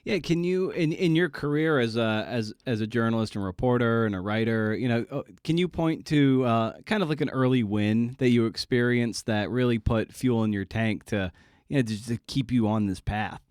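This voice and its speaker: A man hosting a casual interview podcast, wordy and circling into his questions with halting phrasing. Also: medium pitch, a little mellow, clean tone, dry recording, clean studio sound.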